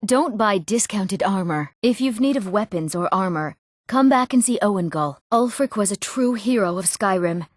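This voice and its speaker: A woman's voice: medium pitch, animated, moderate pace, dry recording, close to an everyday voice. Woman as an eager young apprentice smith. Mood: proud, wistful. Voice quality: youthful. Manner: eager, earnest. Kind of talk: scripted fantasy game dialogue.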